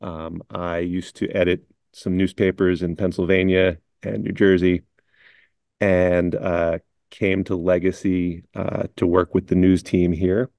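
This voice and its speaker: A middle-aged man who is a chief editor, talking on camera in a measured, wry way. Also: low voice, level tone, creaky, a little mellow, dry recording, studio quality. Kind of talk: casual on-camera chat.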